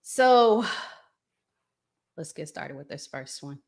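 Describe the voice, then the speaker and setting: A woman's voice: sits low, sing-song, takes it slowly, vocal fry, darkish tone. A middle-aged American woman hosting a casual livestream talk show in a conversational manner. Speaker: podcast host.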